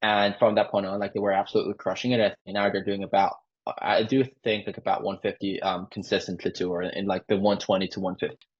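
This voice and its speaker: A man giving an informal sales pitch on a live call, peppering his explanations with "like". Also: level tone, fast, husky. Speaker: sales closer for a marketing agency. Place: online sales call with screen sharing. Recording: dull lo-fi call audio.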